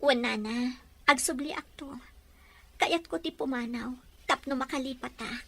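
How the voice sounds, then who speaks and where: A woman playing a gentle, heartbroken but resolute young woman leaving home in an audio drama, speaking earnestly. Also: high-pitched, normal speed, creaky, heavily acted. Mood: gently resolute. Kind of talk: Ilocano audio drama acting.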